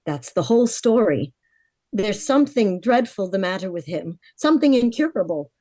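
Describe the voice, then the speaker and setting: A woman acts out a frank, worried heiress in her twenties from a golden-age radio play. Her voice is warm and relaxed in tone, with a medium pitch and a very dry sound. She confides in an earnest storytelling way. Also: moderate pace, no echo. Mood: grave, worried. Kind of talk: vintage radio drama acting.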